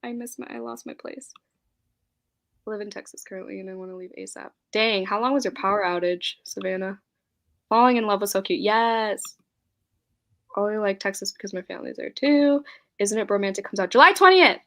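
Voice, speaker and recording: A woman's voice: mid-range voice, a little fast, a bit bright. A young woman hosting a casual live-stream book chat, giggly and scattered, reading viewer comments aloud. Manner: giggly, scattered, laughs often.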